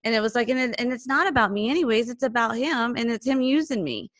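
A woman's voice: a little high, quick pace, no echo. A woman hosting a faith podcast, reflective and affirming as she talks with her guest. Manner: warm affirming listener, reflective. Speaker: podcast host. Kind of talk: faith-based podcast conversation.

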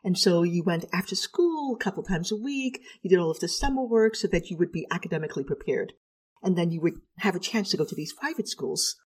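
A woman's voice: on the low side, animated, brisk, darkish tone, slightly rough. A middle-aged woman from Trinidad, a clinical psychologist, telling her story in a reflective, articulate way.

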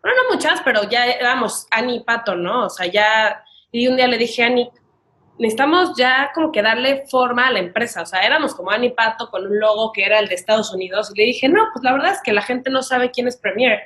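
Bright-voiced young Mexican woman telling stories. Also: fairly high pitch, expressive, brisk. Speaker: podcast guest, wedding planner. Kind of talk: casual conversational storytelling.

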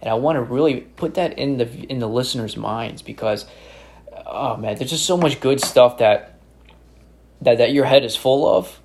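A man from upstate New York with a New York accent, hosting a podcast in a drawn-out, enthusiastic way. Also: mid-range voice, vocal fry, darkish tone. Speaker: podcast host. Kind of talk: casual podcast chat.

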